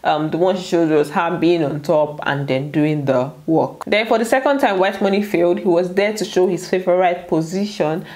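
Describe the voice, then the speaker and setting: A woman's voice: lowish voice, normal speed, hardly any pauses, a little mellow. A Nigerian woman hosting a casual gossip recap, chatty and colloquial, with playful asides. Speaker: YouTube host recapping a reality show.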